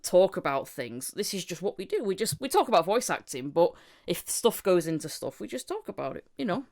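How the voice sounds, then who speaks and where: A woman hosts a relaxed podcast conversation in a low voice. Her intonation swings widely, giving her chat an animated, laughter-prone feel. She speaks informally, as one colleague to another. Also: brisk. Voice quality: lowish voice. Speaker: podcast host and interviewer.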